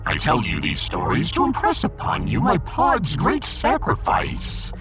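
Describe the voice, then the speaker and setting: A man's voice: medium pitch, huge pitch swings, a little slow, over loud music, low-quality recording. A man voicing a pompous, gloomy little alien fish emperor in a sci-fi audiodrama, theatrical, long-winded and imperious. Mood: aggrieved, melodramatic. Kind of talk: sci-fi audiodrama character acting.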